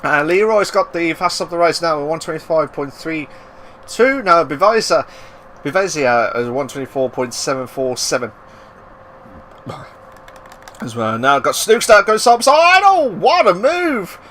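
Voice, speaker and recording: Man, race commentator, high voice, bright and sharp, excitable, loud outbursts. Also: a little slow, a little hiss or hum. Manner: excitable, bursts into loud exclamations. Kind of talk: live esports racing commentary.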